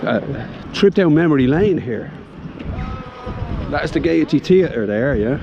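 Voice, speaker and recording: A man's voice: fairly high pitch, lively intonation, unhurried, gravelly, dull audio, background noise. A man with a Dublin Irish accent giving a casual, enthusiastic walking tour of his city for a vlog, often tagging phrases with "yeah". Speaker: vlogger, tour guide. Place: busy outdoor city shopping street. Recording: outdoor street with crowd chatter.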